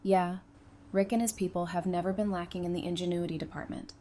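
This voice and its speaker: A woman's voice: lowish voice, steady tone, a little fast, warm tone, natural voice. A woman playing a witty, hardened apocalypse survivor in a fan audio drama, with a teasing, conversational delivery. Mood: earnest. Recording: clear background noise.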